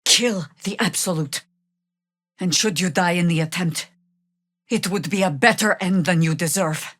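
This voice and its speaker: Weathered, wry warrior druid, low-voiced. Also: female voice, measured pace, fairly bright tone, dry recording. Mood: measured, weary. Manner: dry, sardonic, commanding. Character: weathered veteran druid heroine, wry, stern, battle-hardened older woman. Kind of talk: dramatic fantasy video game voice acting.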